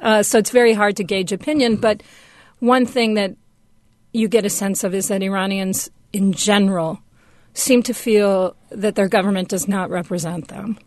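A woman answering questions as a radio guest in a measured, explanatory, conversational way. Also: medium pitch, steady tone, a little mellow, no echo.

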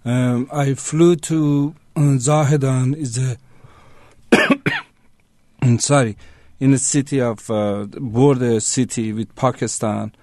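A man from Iran, giving a halting, plain-spoken personal account as a radio guest. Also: medium pitch, steady tone, a little mellow, dry recording.

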